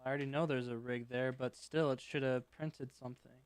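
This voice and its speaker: A man on a live stream debugging his code, half talking to himself in clipped fragments. His voice is smooth and even in pitch, though it is starting to wear thin. The sound is dry and close, with no room around it. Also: brisk, dry recording. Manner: thinks aloud in short fragments while debugging. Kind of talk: live-stream coding commentary, muttering to himself.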